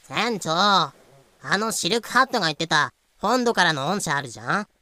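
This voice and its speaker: Eager pirate crewmate played by a woman: casual, excitable, swooping pitch, dry recording. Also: moderate pace, no room sound. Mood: curious. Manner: casual, animated.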